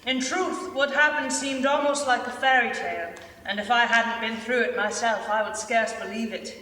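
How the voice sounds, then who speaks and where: A woman playing a plainspoken British soldier writing to a friend, emphatic and expressive in reader's theater style. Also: fairly high pitch, normal speed. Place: large indoor theater stage. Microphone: far camera. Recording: echoey theater stage, distant, faint noise.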